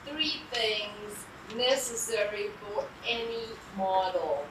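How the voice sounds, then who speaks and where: A woman in the audience asking a short, deliberate question. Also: mid-range voice, animated, fairly bright tone, echoey room, a little hiss or hum, low-quality recording.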